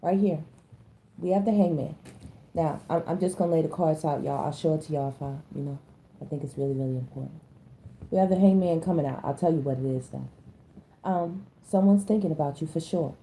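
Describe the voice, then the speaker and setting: A hoarse woman giving a casual tarot reading, chatting to her viewers and often stopping to clear her throat. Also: sits low, fairly quick, mellow, a little hiss or hum, rough recording. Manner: chatty, addresses listeners as y'all, stops to clear her throat. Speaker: tarot reader.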